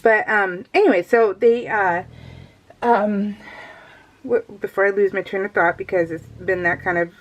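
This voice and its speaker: A woman's voice: medium pitch, steady tone, measured pace, highs cut off. A middle-aged woman chatting casually as a craft vlogger, giggly and easily sidetracked as she rambles along. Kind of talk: casual chat, rambling vlog.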